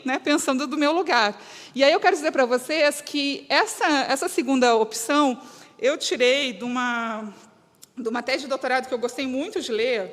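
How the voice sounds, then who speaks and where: A woman's voice: high voice, fairly bright tone. A woman with a gaúcho southern Brazilian accent giving an earnest, emphatic semi-spontaneous public talk, often breaking off and restating her phrases. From Rio Grande do Sul, Brazil. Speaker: guest speaker. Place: reverberant hall, live talk to an audience. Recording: echoey hall with long reverb.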